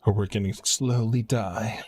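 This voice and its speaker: A man with a deep, husky voice streams a video game. He talks casually to viewers, commenting on play and plans in an informal way. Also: steady tone, a little slow, darkish tone.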